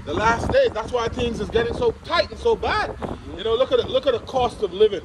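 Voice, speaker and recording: A man with a high voice talks religion in a colloquial, emphatic way as he walks outdoors. He circles back and repeats phrases for weight. Clear outdoor noise runs behind him. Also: a little fast. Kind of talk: casual religious talk while out walking. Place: outdoors, walking. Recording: noisy outdoor recording, traffic or wind noise.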